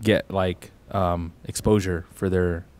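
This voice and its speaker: A man's voice: low voice, fairly even intonation, slow pace, a little mellow. Laid-back man telling a story. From Tucson, Arizona. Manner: rambling, laid-back, says "bro" often. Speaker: podcast co-host. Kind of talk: casual storytelling chat.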